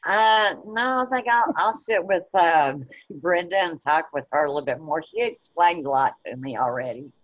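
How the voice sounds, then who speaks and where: A woman answers casually on a video call, hesitant and friendly. Her voice sits very low for a woman. The sound is dry, with no room echo. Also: moderate pace, hardly any pauses. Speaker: meeting attendee. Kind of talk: casual chat.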